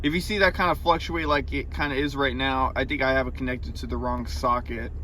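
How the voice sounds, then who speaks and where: A man with a bright, forward voice talks casually, like a hobby vlogger showing off a project. He rambles informally and sometimes stutters or repeats words. There is some noise from the car interior around him. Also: mid-range voice, fairly even intonation, brisk. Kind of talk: casual chat to camera. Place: inside a car. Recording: noisy vehicle interior.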